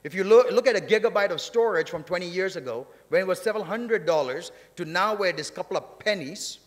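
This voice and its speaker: A man addresses a conference from a podium. His voice sits a little high, and the big hall rings around it. He talks conversationally but leans on his points, repeating phrases to drive them home. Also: expressive, fast talker. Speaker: session chair and speaker at a technology summit. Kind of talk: conference speech. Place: large indoor conference hall, on stage. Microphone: podium gooseneck microphones. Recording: reverberant hall sound through podium microphone.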